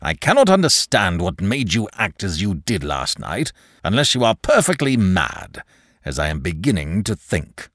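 A man's voice: sits low, very animated, moderate pace, fairly bright tone, no room sound, exaggerated voice. This is a man putting on a stern, pompous bank manager from a storybook. He scolds in stiff, indignant formal phrases that rise and fall sharply, recorded very dry. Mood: exasperated. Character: pompous, exasperated male bank manager, stern and easily angered. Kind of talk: audiobook character dialogue.

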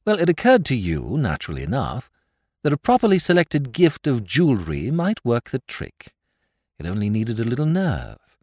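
A man's voice: medium pitch, darkish tone, no room sound. A man voicing a confident young American who lays out an accusation coolly and sarcastically, in a dry recording. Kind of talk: audiobook character voice.

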